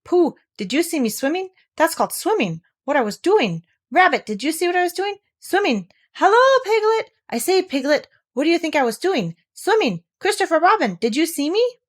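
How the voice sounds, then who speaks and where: A woman voicing a tiny, excitable baby kangaroo boy with a squeaky, eager voice. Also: normal speed, few pauses. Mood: excited.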